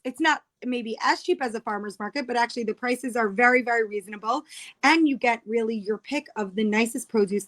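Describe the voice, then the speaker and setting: Higher-voiced chatty American woman. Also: a bit bright. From New York, United States. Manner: chatty, explanatory, detailed. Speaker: guest, cookbook author. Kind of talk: casual interview chat.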